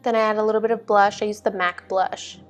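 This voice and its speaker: A woman's voice: medium pitch, little pitch movement, fast. Casual young woman vlogger, music behind. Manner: informal, chatty, frequent "like". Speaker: vlog host. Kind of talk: casual get-ready-with-me makeup narration.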